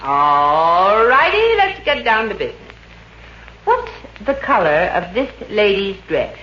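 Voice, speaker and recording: A woman playing a brassy, quick-witted showgirl with wisecracking, theatrical patter in 1950s radio comedy style. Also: medium pitch, very animated, bright, forceful tone. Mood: showy patter. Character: brassy, quick-witted showgirl running a mind-reading act. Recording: muffled, noisy 1950s radio recording, roomy.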